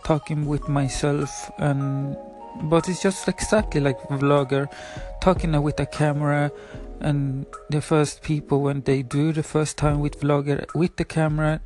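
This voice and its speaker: A man's voice: fairly high pitch, darkish tone. A man in his twenties from Sweden, with a Swedish accent in English and Spanish as his first language, hosting a casual solo podcast, hesitant with many fillers and apologies. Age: 20s. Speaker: podcast host.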